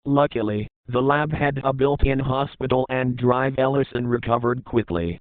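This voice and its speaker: A man narrates a scripted horror story as a documentary report, at an average pitch. The sound is thin and narrow, like a phone line, with very little room in it. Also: moderate pace, no room sound.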